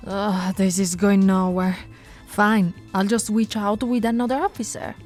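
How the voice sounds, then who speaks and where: A woman voicing a flirty, no-nonsense female police officer in an ASMR roleplay, switching between firm orders and soft, teasing coaxing. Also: medium pitch, level tone, measured pace, faint music behind, close to an everyday voice. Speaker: voice actress.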